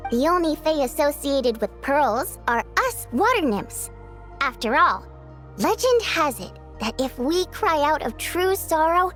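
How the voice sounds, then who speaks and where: Fantasy game nymph, female: extremely high, lilting and animated, coy and sassy over faint music. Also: exaggerated voice. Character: teasing, playful female water nymph singer. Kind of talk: video game voice acting.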